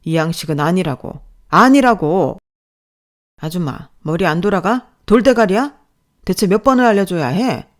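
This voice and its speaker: A man's voice: on the high side, animated, normal speed. A woman voicing an abusive male department manager in a story, barking sarcastically and belittling an employee. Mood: furious, insulting. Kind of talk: dramatized audio story dialogue.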